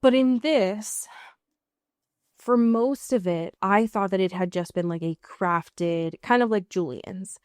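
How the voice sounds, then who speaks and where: A woman's voice: medium pitch, slow pace, darkish tone, clean tone, no room sound, clean studio sound. An American woman co-hosting a casual recap podcast, emphatic and sweary, often rambling and correcting herself as she goes. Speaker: podcast co-host. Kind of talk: casual TV and film recap chat.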